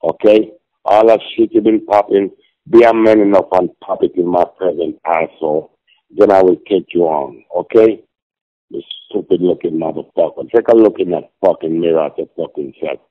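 A man's voice: sits low, normal speed. A middle-aged man leaving a hostile, profane, insulting rant as a voicemail message. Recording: dull lo-fi voicemail playback.

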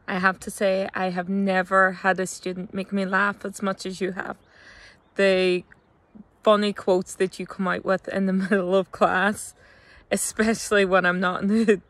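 A woman's voice: medium pitch, no echo. A woman teacher speaking in a chatty, friendly way in a video message, filmed outdoors on a phone held at arm's length. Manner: warm, chatty, laughs mid-sentence. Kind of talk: recorded video tribute. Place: outdoors, open countryside.

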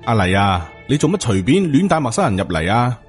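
A man's voice: medium pitch, sing-song, fairly quick, faint music behind, natural voice. A man voicing a distrustful young village father in an audiobook, challenging and questioning, with a Hong Kong Cantonese accent. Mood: suspicious.

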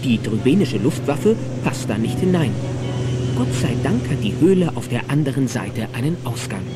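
A man's voice: mid-range voice, very animated, normal speed, close to an everyday voice. A man narrating a children's adventure radio play in a dramatic, playful manner. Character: lively storyteller of a children's adventure. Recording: music and engine sounds behind.

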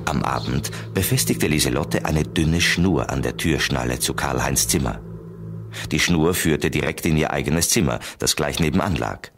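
A calm man narrates a children's audio drama. His voice is extremely deep, and he delivers the scripted story over faint music. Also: moderate pace. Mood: calm storytelling.

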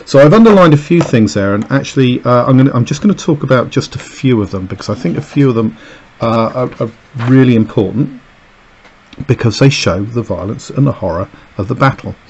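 A man's voice: mid-range voice, animated, fairly quick, a little mellow, lo-fi sound, slight background noise. A man teaching a recorded lesson, explaining an extract with many fillers and "okay"s as he annotates it. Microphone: voice-over over a camera filming a page on a desk.

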